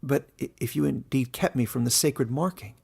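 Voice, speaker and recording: An embittered but earnest young exile from a storybook village, acted by a man. He protests in bewilderment. His voice has a creaky grain. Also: mid-range voice, normal speed, darkish tone, voice pushed up. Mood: stammering, bitter. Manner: stammering at times, protesting.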